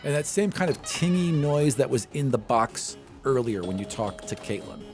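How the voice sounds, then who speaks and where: A man's voice: mid-range voice, lively intonation, moderate pace, a little mellow, faint music behind. A man acting as game master, describing scenes and explaining things in a casual, conversational way. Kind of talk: narrating a tabletop game, conversational.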